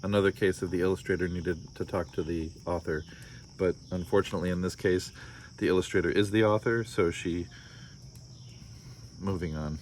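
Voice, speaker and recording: A man's voice: deep voice, fairly even intonation, moderate pace, pauses often, a little mellow, slight background noise. An American man reading a children's story aloud, breaking off for wry, casual asides. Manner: wry, joking commentary. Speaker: storybook reader.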